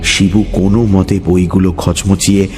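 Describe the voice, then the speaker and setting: A man narrating a scripted horror story dramatically, with suspenseful pauses. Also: sits low, flat delivery. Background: music.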